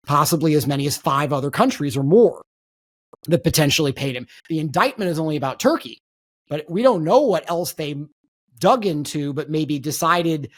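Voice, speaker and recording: A man hosting a political podcast, talking in an emphatic conversational way and repeating words as he restarts. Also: on the high side. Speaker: podcast host.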